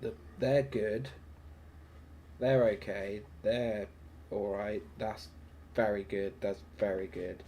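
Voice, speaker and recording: A man's voice: fairly low pitch, steady tone, takes it slowly, pauses often, dark tone, slight background noise. A man, congested from a heavy cold, casually commentating his own gameplay while laughing and muttering to himself. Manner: chatty, laughs often, mutters to himself between battle calls. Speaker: gaming streamer.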